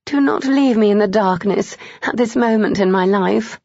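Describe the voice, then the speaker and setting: A woman's voice: mid-range voice, moderate pace, mellow, dry recording, lo-fi sound, voice pushed up, close to an everyday voice. Woman voicing an eager, pleading young bride. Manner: breathy, pleading, insistent.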